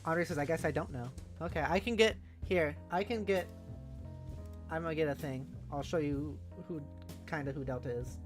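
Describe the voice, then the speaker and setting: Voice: medium pitch, moderate pace. A streamer host chatting casually and animatedly with viewers on a livestream. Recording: music playing behind the voice.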